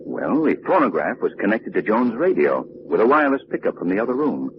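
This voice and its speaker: An actor playing a calm, knowing gentleman sleuth, explaining things in a measured way in an old radio drama. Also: on the low side. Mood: explanatory. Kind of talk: 1940s radio drama acting. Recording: muffled, noisy old-time radio recording.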